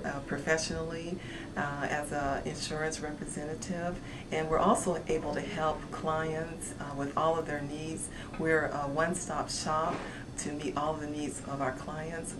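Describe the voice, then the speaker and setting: A woman's voice: low voice, background noise. A woman in her forties, an insurance representative, speaking with some hesitation into a handheld mic. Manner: hesitant, with fillers.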